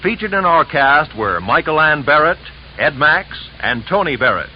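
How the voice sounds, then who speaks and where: An American male radio announcer reads show credits in a 1950s manner. His voice is fairly high and very lively in pitch. The old recording is dull, with music behind. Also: moderate pace, slight background noise.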